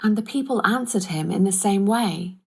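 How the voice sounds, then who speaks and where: A woman narrates a Bible story from a script. Her voice sits at an average pitch for a woman, and she reads as the storyteller between the characters' speeches. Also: normal speed, gravelly, a little mellow, slightly rough.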